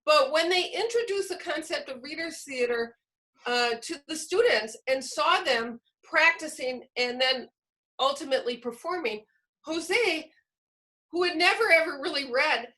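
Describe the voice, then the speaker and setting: A woman presents informally, as if training teachers. Her voice is high and bright. She speaks conversationally and with enthusiasm, without reading from a script. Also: expressive, unhurried, rough recording.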